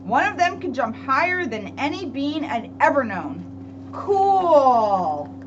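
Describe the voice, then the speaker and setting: A woman reading a picture book aloud to children in an expressive, chatty way, with casual asides. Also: sits high, slow. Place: library room. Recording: dull, very noisy with a hum.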